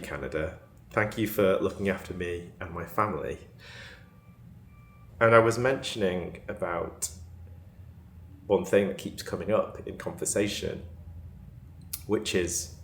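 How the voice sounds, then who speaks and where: Slow, low-voiced Cheshire vlogger. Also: male voice, fairly bright tone, reverberant. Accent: northern Cheshire English. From England. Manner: chatty, laughs often, crisp T sounds. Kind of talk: casual vlog chat to camera.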